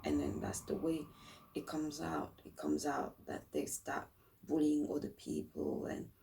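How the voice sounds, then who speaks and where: A woman with a hoarse, croaky voice talks slowly and thoughtfully about her own life, sometimes sinking to a near whisper. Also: a bass voice, very animated, distant mic. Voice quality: croaky, gravelly voice. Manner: slow, thoughtful, often drops to a soft whisper. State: hoarse after long studio hours. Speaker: vlogger sharing a personal story. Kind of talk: reflective personal talk to camera.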